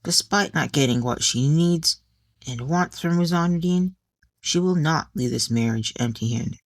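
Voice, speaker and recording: A woman's voice: sits low, unhurried, dry recording. A woman reading a scripted fiction story as a dramatic audiobook narrator.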